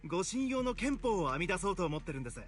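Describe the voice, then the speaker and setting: A man's voice: high-pitched, sing-song, racing, faint music behind. An actor playing an eager, polite young man in a video game, speaking earnestly. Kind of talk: Japanese video game voice acting.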